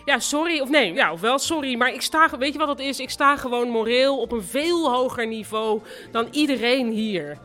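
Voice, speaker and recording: A woman's voice: a little high, animated, normal speed, a bit bright. An actress playing an earnest, self-righteous young woman in a live audio drama, narrating and speaking with wry sincerity. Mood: earnest, uneasy.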